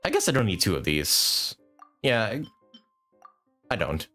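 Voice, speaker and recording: A man with a fairly low voice and lively, swinging intonation talks through a game on a livestream. He rambles casually and thinks out loud, cursing lightly. Music plays behind him. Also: a little slow. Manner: rambling, thinking aloud, mildly swearing. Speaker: live streamer. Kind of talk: casual gaming stream commentary.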